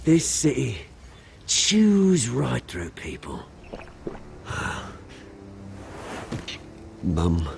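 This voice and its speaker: A man's voice: on the low side, vocal fry, breathy edge, full character voice. An actor playing a volatile, down-on-his-luck British rogue in a video game, theatrical, cocky and bitter. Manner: theatrical, swinging from chummy banter to shouting.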